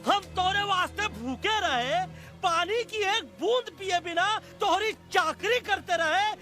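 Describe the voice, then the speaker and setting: A man's voice: very high-pitched, lively intonation, unhurried, pushed and bright, heavily acted. A man voicing a hot-headed, aggrieved monkey in a cartoon film, ranting in Bhojpuri-flavoured eastern Hindi. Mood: furious. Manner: ranting, indignant, exclamatory. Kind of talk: animated film comedy voice acting. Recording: film music behind the voice.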